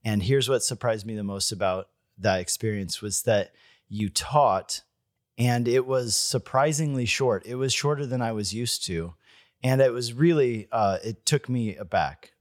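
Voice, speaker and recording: A man's voice: medium pitch, measured pace, no room sound, clean studio sound. A man interviewing a pastor, asking questions in a friendly, chatty way with some restarts. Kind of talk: interview conversation.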